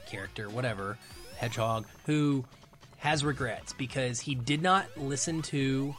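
A man with a medium-pitched voice speaks as a guest on a film podcast. He is casual but earnest, explaining his points as he goes. Faint music sits behind his voice. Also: fairly bright tone.

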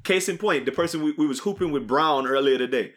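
A man's voice: a little high, fast talker, a bit bright. A young man hosting a podcast, chatting loosely with lots of laughter and rambling asides. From Arizona. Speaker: podcast host. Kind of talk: casual banter.

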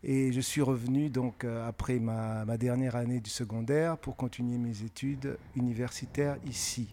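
A middle-aged man who grew up in francophone Africa, answering interview questions in a measured, thoughtful way with frequent pauses. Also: mid-range voice, fairly even intonation, mellow, a little hiss or hum. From francophone West and Central Africa. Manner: measured, thoughtful, pausing often with hesitations. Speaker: guest author.

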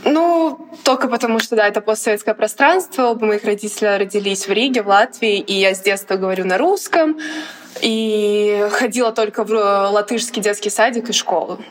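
A woman's voice: medium pitch, brisk, long reverb tail. A young woman from Latvia, a guest athlete, chatting casually and laughing often in an interview. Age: young adult.

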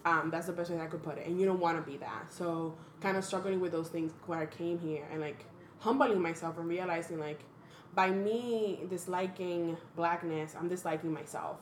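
A young woman from the Dominican Republic speaks in an earnest, thoughtful way, with the odd blunt aside. Her voice sits on the low side and rings in an echoey room. A faint background hum runs under it. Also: steady tone, normal speed. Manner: thoughtful, earnest, frank, with sudden blunt humor. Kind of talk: reflective casual chat.

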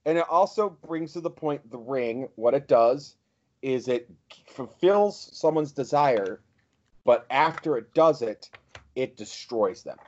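A man's voice: medium pitch, expressive, measured pace, lo-fi sound. A man co-hosting a podcast, speaking in a casual, conversational style. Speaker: podcast co-host. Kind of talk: casual discussion, reading excerpts aloud.

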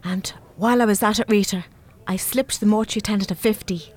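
An actress playing a brisk, stingy, scornful grown daughter in a radio play, with an Irish accent and an emphatic delivery. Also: female voice, mid-range voice, darkish tone, close to an everyday voice.

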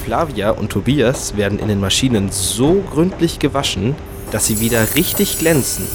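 A man's voice: mid-range voice, expressive, moderate pace, background noise. A man narrating a scripted children's story as the storyteller of a radio play.

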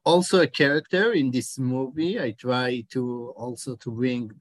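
Slow, halting Israeli man. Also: medium pitch, fairly even intonation, dry recording. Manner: halting, searches for words, many hesitations. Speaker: documentary director, guest. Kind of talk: interview answers.

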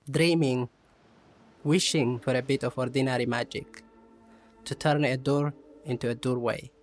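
A man's voice: medium pitch, fairly even intonation, a little slow, soft background music, close to an everyday voice. A man reciting poetically in a radio play as a weary, wistful working breadwinner.

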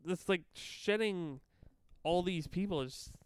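A Canadian man co-hosting a podcast, talking in a rambling, profane, animated way. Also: high voice, slow, smooth. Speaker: podcast co-host. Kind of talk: casual chat.

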